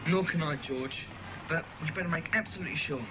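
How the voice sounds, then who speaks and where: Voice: mid-range voice, fairly quick, very noisy, natural voice. An actor playing a keen, thorough young boy detective in a dramatised children's audiobook.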